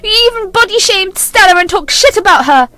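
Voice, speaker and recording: A woman's voice: very high-pitched, lively intonation, brisk, sharp tone, no echo, voice pushed up, exaggerated voice. A woman voicing a hurt young wife who accuses her mother-in-law bitterly. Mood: accusing.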